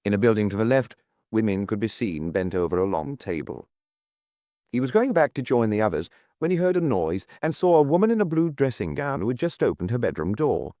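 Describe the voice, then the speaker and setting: Low-voiced man narrating a detective story. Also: sing-song, fairly quick, warm tone, no echo, clean studio sound. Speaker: audiobook narrator.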